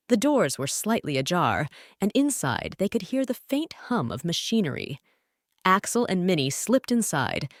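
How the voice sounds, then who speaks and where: A woman's voice: on the low side, no echo, studio quality. A woman narrating a scripted bedtime story for children as a storyteller.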